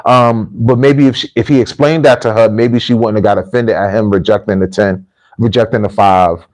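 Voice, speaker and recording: A man's voice: fairly low pitch, fairly even intonation, moderate pace, darkish tone, low-quality recording. A man with an American accent hosting a casual livestream, talking informally to viewers and often repeating his phrases for emphasis. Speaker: livestream host and repatriation coach. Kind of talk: casual livestream talk, addressing viewers and telling anecdotes.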